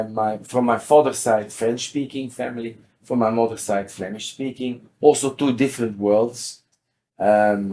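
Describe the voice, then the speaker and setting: Male executive guest, 60s, Belgian accent, measured explanatory delivery, mid-range pitch. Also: a little mellow. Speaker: interview guest, company CEO. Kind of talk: explanatory interview conversation.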